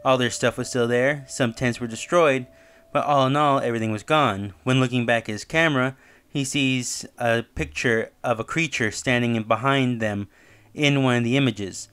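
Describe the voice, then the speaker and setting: A man's voice: mid-range voice, normal speed, fairly bright tone, clean tone. A man hosting his own channel, casually recapping and reviewing a horror web series, talking loosely with fillers and self-corrections.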